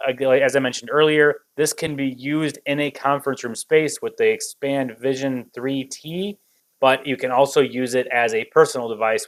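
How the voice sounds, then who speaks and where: A man reviewing a product in an unscripted walkthrough, explaining things step by step. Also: medium pitch.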